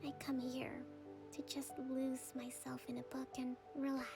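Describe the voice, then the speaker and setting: A woman voicing a gentle, tired young priestess leader in a game, speaking softly, often in a breathy near-whisper. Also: high-pitched, monotone, unhurried, over music. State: worn out. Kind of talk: game voice acting.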